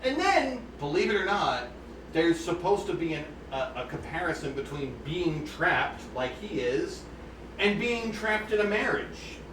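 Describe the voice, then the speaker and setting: Man on the high side for a male voice, chatty pianist explaining pieces between performances; recorded off-mic in a roomy space with faint background hum. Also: very animated, a little slow. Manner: retells stories conversationally, with asides to listeners. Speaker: pianist introducing pieces at a recital. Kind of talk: informal spoken program notes between pieces. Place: indoor room with air conditioning. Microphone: phone with USB microphone, placed away from speaker. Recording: roomy and distant with faint ambience.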